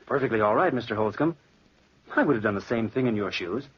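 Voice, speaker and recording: Husky, agitated young murderer, old radio. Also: male voice, lowish voice, animated, fast talker. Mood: strained politeness. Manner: theatrical, agitated, switching between smooth politeness and whispers. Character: young murderous nephew, feverish, boastful, cracking with guilt. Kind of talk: old-time radio horror acting. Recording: dull lo-fi vintage radio recording.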